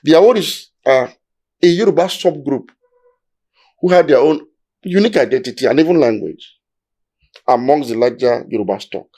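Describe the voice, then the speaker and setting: A man's voice: medium pitch, animated, moderate pace, pauses often, warm tone. A Nigerian man with a Nigerian accent, explaining history in a discussion in an emphatic, lecturing way, building his points step by step.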